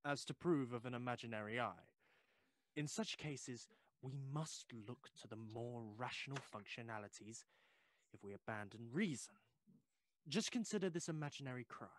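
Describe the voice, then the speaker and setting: An actor playing a sceptical, rational Victorian gentleman in an audio drama, speaking in a formal, measured and insistent way. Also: mid-range voice, expressive, slight background noise, close to an everyday voice. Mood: measured, persuasive. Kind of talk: period audio drama acting.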